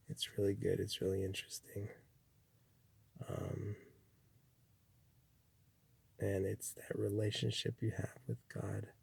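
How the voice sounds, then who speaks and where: A man from Chile giving a slow, rambling spiritual monologue, full of restarts and pauses. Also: mellow. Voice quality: gravelly, husky low voice. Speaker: spiritual speaker.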